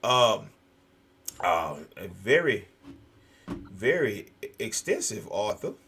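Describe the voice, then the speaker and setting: An American man hosting a talk show, speaking in an animated, preacher-like conversational way, emphatic and often repeating phrases for effect. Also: on the low side, slow pace, a little hiss or hum. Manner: emphatic, repetitive. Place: virtual video show.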